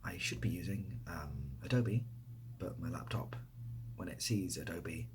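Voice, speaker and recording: A man chatting casually and softly, often nearly whispering, in a rambling, self-deprecating way as he answers questions. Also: deep voice, measured pace, background noise. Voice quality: soft, breathy, often near whisper. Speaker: ASMR video creator. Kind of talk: softly spoken casual Q&A chat. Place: indoors next to a window.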